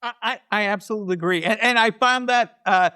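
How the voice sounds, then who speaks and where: High, bright-voiced man chatting earnestly. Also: fast, clean tone. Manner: earnest, emphatic repetition of phrases. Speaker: storytelling show producer and podcast guest. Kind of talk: casual conversational interview.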